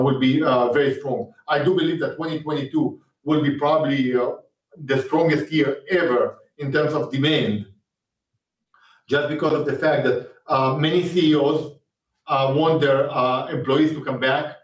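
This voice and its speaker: A man with an Israeli accent, an executive in an investor interview, speaking haltingly with many fillers. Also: medium pitch. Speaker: company executive. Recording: distant roomy muffled call audio.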